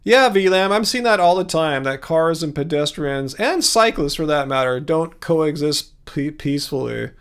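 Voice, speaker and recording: California guy doing a casual livestream, kinda high voice, rambly and easygoing, chatting back to viewers. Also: lively intonation, moderate pace, few pauses, a bit bright, clear, resonant tone. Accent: Californian American. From California, United States. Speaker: livestream host. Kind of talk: casual livestream chat, replying to viewer comments.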